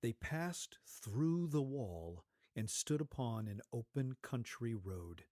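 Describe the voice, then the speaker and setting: A man narrating a story as a scripted dramatic reading, with deliberate, theatrical phrasing. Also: mid-range voice, expressive, mellow, dry recording, studio quality.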